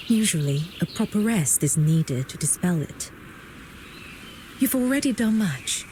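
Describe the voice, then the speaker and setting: A woman playing a composed, gracious but guarded proprietress in a video game, speaking in a formal, measured way. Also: medium pitch, dark tone, slight background noise, close to an everyday voice. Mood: calm, dismissive. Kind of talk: video game character voice acting.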